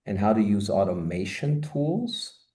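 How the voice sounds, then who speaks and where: A man's voice: lowish voice, very animated, unhurried, warm tone. A man in his fifties, born and raised in Austria, speaking as a guest on a video-call interview from a home office, talking expansively with many "you know" asides. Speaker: guest, marketing consultant. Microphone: video call, webcam.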